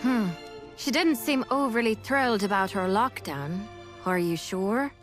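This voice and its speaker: A woman's voice: medium pitch, animated, unhurried, natural voice. A woman playing a seasoned, easygoing female mercenary in a fantasy video game cutscene. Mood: serious. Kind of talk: English game cutscene voice acting. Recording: music behind the voice.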